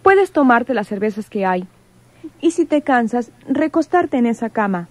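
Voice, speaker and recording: A woman's voice: on the high side, sing-song, normal speed. An actress with a Mexican accent playing a cautious, stern woman in a radio serial. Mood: cautious, firm.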